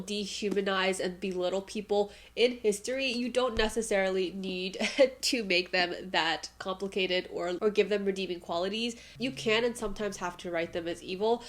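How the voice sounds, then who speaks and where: A woman with a stuffy, nasal voice from allergies, chatting casually about a book she just finished, laughing lightly now and then. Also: medium pitch. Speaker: book vlogger. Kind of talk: casual book review to camera.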